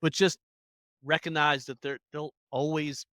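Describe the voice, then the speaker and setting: An adult interview guest speaking in animated, emphatic bursts. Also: mid-range voice, normal speed, no room sound, clean studio sound. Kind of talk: conversational interview.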